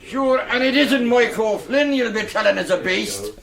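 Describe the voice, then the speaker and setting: A man's voice: very high-pitched, moderate pace, gravelly. An actor playing a belligerent, drunken village man with an Irish accent, rambling and threatening in a radio drama. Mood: belligerent, boastful. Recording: busy pub crowd behind.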